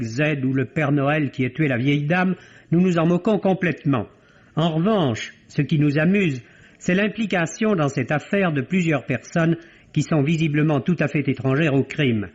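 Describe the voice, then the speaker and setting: Higher-pitched male critic on dull vintage radio. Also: husky. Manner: articulate, literary, wryly ironic. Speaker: film critic giving a radio review. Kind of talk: 1950s French radio film review, prepared commentary. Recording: dull, band-limited vintage radio recording.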